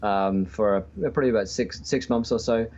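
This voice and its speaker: A man chatting casually as a podcast guest and game streamer, with hesitant, halting phrasing, calling in remotely. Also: lowish voice, steady tone, a little mellow. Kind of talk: casual interview chat. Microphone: remote call-in. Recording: dull, lo-fi call audio.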